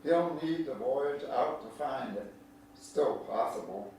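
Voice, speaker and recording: A man's voice: mid-range voice, expressive, slow pace, a little mellow. An actor playing a folksy older country man, a kindly, teasing storyteller with a homespun drawl, in a theatrical staged reading. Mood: wise, reflective. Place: large echoey indoor room at a staged reading. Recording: echoey hall, distant mic, faint noise.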